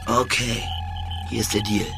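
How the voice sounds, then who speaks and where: A man's voice: fairly low pitch, flat delivery, measured pace, gravelly. An actor playing a defiant male hacker bargaining with an agent in a radio drama. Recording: music under the voice.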